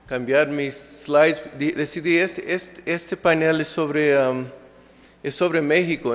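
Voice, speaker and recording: Guy giving a conference talk in his second language, lots of ums and restarts, average pitch, big echoey hall. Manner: hesitant, many fillers and restarts. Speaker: conference speaker. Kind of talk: academic presentation. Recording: reverberant lecture hall.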